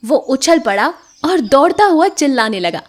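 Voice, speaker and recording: A woman storyteller reading scripted children's moral tales in an expressive way. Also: sits high, moderate pace, no room sound.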